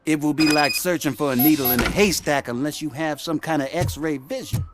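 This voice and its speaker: A man's voice: fairly high pitch, a little fast, slight background noise, natural voice. An actor playing a sarcastic, coarse working-class city man in a video game, talking in colloquial wisecracks. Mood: wry, skeptical. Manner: wisecracking, colloquial, mildly profane.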